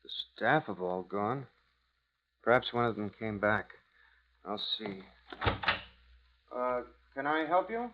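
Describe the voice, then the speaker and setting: A man's voice: medium pitch, big pitch swings, takes it slowly, pauses often. An actor playing an anxious, hesitant American businessman, weak-willed and deferential, in a 1950s radio drama. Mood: guarded. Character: nervous, weak-willed guilty businessman, submissive to his lover. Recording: dull, lo-fi 1950s radio recording.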